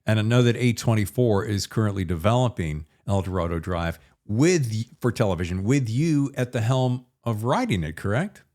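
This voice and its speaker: A man's voice: on the low side, lively intonation, normal speed, hardly any pauses, darkish tone. A man hosting a podcast interview in a casual, chatty way with folksy phrasing. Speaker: podcast host interviewing an author.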